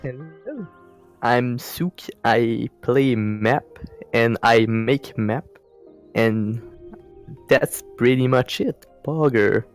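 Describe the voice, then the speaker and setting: A young man from Quebec, a native French speaker with a Quebec French accent, giving terse, halting short answers as a guest. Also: mid-range voice, faint music behind. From Quebec, Canada.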